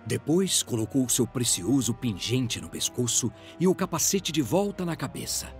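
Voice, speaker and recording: A man's voice: mid-range voice, very animated, moderate pace, over music. A man with a Brazilian accent giving dramatic scripted narration for a fantasy audio drama.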